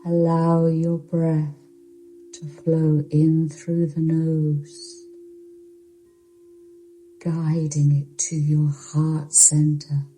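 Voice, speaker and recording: A woman narrating a guided yoga nidra meditation in a soothing, hushed, unhurried voice with long pauses. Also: sits low, completely flat delivery, a little hiss or hum, low-quality recording.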